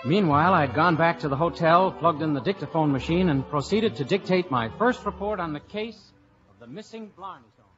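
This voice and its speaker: An actor playing a breezy, wry young investigator in an old radio comedy. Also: male voice, a little high, a little fast, music in the background, lightly acted. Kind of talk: 1950s radio comedy acting. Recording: muffled vintage radio broadcast.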